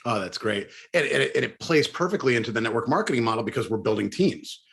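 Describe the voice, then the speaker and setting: A man hosting a podcast, talking quickly and chatting enthusiastically with his guest. His voice has a middle pitch. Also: animated, creaky, fairly bright tone. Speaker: podcast host. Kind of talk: casual interview talk.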